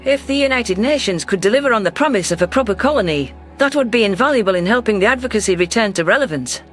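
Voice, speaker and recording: High-pitched male story narrator. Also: animated, quick pace, fairly bright tone, soft background music. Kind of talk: scripted first-person sci-fi narration.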